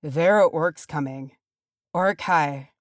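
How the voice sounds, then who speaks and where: A woman voicing a gruff, wary male dwarf warrior in a fantasy story, speaking in terse, alert phrases. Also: deep voice, takes it slowly, no echo, studio quality.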